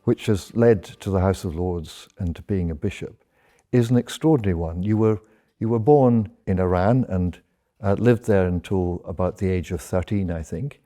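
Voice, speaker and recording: A man hosts a formal interview podcast, his voice somewhat low and very dark and mellow. He puts his questions politely, stumbling now and then on uhs and repeated words. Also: normal speed.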